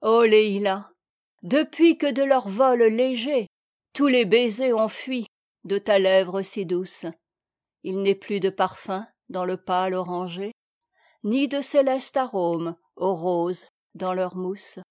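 A woman's voice: medium pitch, takes it slowly, a little mellow, no echo, studio quality. A woman reciting poetry as a volunteer reader.

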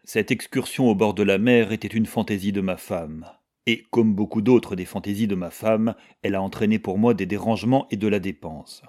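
A man's voice: on the low side, fairly even intonation, normal speed, a little mellow, natural voice. A man reading the part of a stern, domineering stepfather in a novel, hard, curt and commanding. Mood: resolute. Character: stern, domineering gentleman and stepfather, coldly angry.

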